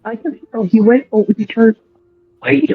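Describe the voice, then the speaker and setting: A woman with a husky voice giving casual, offhand let's play commentary in short bursts, recorded on a dull, lo-fi microphone. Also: a little high, normal speed, faint music behind. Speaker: gaming streamer.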